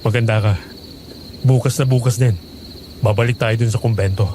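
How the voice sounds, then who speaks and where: An actor playing a protective, determined farmer in a horror audio drama, earnest and firm. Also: male voice, medium pitch, fairly even intonation, normal speed, background noise, lightly acted. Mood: calm, resolute. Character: protective, determined male farmer facing monsters. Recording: loud music bed with insect sounds.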